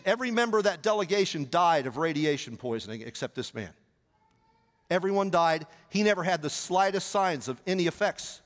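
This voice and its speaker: A middle-aged man with a Southern American accent preaching a storytelling sermon, animated and gesturing as he paces the stage on a headset mic. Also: sits high. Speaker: pastor. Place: large church auditorium stage. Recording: long church hall reverb.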